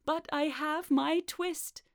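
A woman's voice: high voice, fairly even intonation, slow pace, gravelly, no echo, clean studio sound, pitched above the performer's own voice, exaggerated voice. A woman voicing a poor, worn-out old male tailor who sounds weary and fretful. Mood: relieved.

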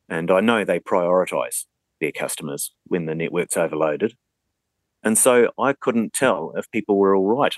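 Male Kiwi interview guest, deep pitch, methodical practical explainer, conversational, dry recording. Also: dry recording. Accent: New Zealand. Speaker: interview guest, radio communications advocate.

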